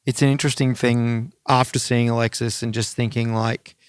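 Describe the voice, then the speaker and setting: A man's voice: mid-range voice, level tone, moderate pace, clean tone, no room sound, clean studio sound. A man co-hosting a music podcast, chatting casually with informal, sweary enthusiasm.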